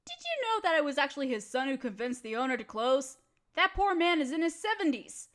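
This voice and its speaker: A woman's voice: high-pitched, big pitch swings, a little fast, a bit bright. A woman acting a bored, chatty young roommate in a casual audio roleplay, talking in a slangy, dramatic, playfully complaining way.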